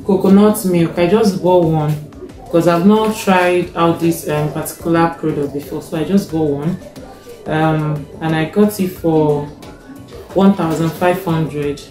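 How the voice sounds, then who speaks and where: A young Nigerian woman with a Nigerian accent, casually showing her grocery haul, taking her time, checking a receipt and often pausing with fillers. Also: low voice, steady tone, warm tone. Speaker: vlogger. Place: home kitchen, indoors. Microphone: fixed camera in front. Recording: echoey kitchen, faint music.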